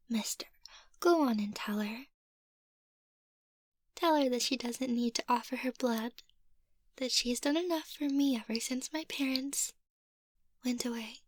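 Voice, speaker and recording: A woman playing a playful, sweet young vampire mistress in an ASMR roleplay, soft-spoken and teasing, often slipping into a whisper. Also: high-pitched, no echo, clean studio sound. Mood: fond, cheerful.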